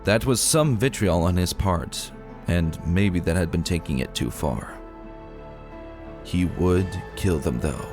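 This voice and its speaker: Deep-voiced man narrating a dramatic story. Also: takes it slowly. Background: music.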